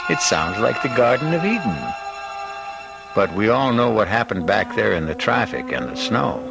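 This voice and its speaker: Gravelly, wry male radio mystery host. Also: lowish voice, big pitch swings, music in the background. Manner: wry, knowing, deliberate. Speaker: radio drama host and narrator. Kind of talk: theatrical scripted narration, old-time radio.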